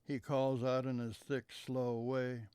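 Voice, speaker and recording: A man reading a childhood memoir aloud in a measured storytelling manner, letting sentences trail off softly at their ends. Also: medium pitch, flat delivery, smooth, dry recording.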